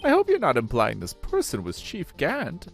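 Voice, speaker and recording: A man's voice: fairly high pitch, wildly swooping pitch, normal speed, creaky, faint music behind, voice pushed up, exaggerated voice. A man voicing a flustered, dim old male judge while reading a video game aloud. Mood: befuddled. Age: older.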